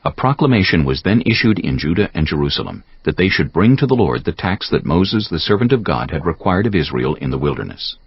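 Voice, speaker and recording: A man with a gravelly voice giving measured, solemn narration for a dramatized audio Bible. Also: sits low, big pitch swings, no room sound. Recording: dull audio with soft music behind. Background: soft background music.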